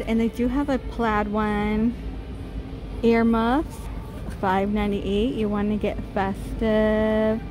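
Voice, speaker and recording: Lady doing a shop-with-me on her phone, talks real slow, holiday music playing loud in the store. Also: on the high side. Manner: chatty, reads out prices, laughs softly. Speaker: vlogger. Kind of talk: casual shop-with-me walkthrough. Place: large retail store, indoors. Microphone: handheld phone.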